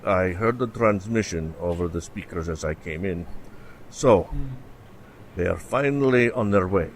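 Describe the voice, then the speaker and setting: Deep-voiced blunt professor, played by a man. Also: measured pace, a little hiss or hum. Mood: matter-of-fact. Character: blunt, pragmatic male professor on a space project. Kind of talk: scripted sci-fi radio play.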